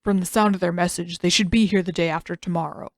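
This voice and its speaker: A woman's voice: on the low side, fairly even intonation, quick pace, no room sound, lightly acted. A woman voicing a dutiful young male messenger who reports plainly in audiobook dialogue. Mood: matter-of-fact. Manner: matter-of-fact reporting.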